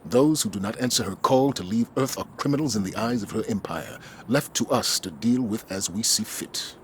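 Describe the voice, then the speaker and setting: A man voicing a grave, burdened older military commander in dramatic game cutscenes, speaking in a solemn, measured, formal way. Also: lowish voice, slight background noise, lightly acted. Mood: solemn, weary.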